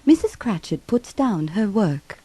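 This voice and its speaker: A woman narrating a ghostly radio drama in a solemn, measured, dramatic storytelling style. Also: medium pitch, sing-song, dark tone, breathy edge, no room sound, low-quality recording. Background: music.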